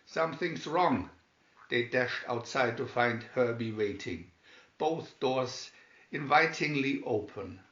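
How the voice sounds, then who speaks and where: A man reading a children's adventure story aloud with measured, deliberate phrasing, recorded in an echoey room. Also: mid-range voice, a bit bright, lo-fi sound. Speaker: storybook narrator.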